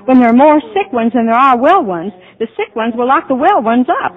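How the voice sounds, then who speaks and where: A tender recovering patient, old radio. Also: female voice, a little high, animated, a little fast, breathy edge. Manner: soft, earnest, tender. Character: gentle woman patient leaving an asylum, recovering. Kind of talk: 1940s radio drama acting. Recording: tinny 1940s radio broadcast, faint music.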